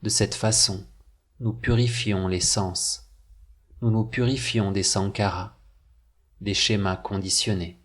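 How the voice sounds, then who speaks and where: A man narrating a scripted guided meditation, with measured, deliberate phrasing and pauses. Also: lowish voice, steady tone, fairly bright tone.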